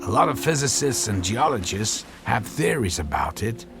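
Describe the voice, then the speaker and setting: An actor playing an obsessed, apologetic middle-aged scientist and husband in a radio drama, halting and repeating his words. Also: on the low side, big pitch swings, over music. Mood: hesitant, secretive.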